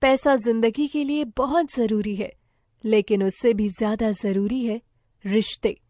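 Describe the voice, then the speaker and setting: A woman's voice: a little high, steady tone, a little slow, dark tone, no room sound, clean studio sound. A woman reading a scripted moral story as its narrator.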